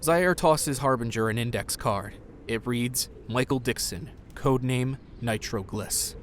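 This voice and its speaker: A man's voice: mid-range voice, measured pace, a little hiss or hum. A man reading scripted narration as the narrator of an audio drama.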